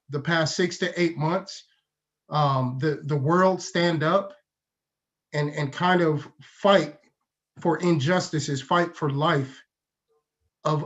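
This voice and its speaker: Stammering man, fairly high voice, muffled lo-fi recording. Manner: stammering, searching for words. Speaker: workgroup co-chair. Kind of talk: reflective community talk. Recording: dull lo-fi audio.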